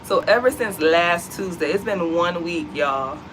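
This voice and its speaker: A woman's voice: lowish voice, measured pace, background noise. An American woman co-hosting a home vlog, chatting animatedly and addressing the viewers as y'all. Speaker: vlog co-host. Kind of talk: casual chat to viewers. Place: at home, seated.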